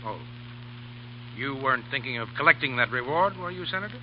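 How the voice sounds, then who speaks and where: Man acting a quick, sardonic pirate chief. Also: medium pitch, expressive, close to an everyday voice. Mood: wry. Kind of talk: 1930s radio acting. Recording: dull, noisy 1938 radio broadcast.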